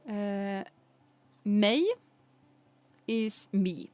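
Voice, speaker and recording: A woman's voice: medium pitch, little pitch movement, extremely slow, no room sound. A young woman from Sweden with a Swedish accent, chatting casually with viewers on a live stream, laughing often and explaining things patiently.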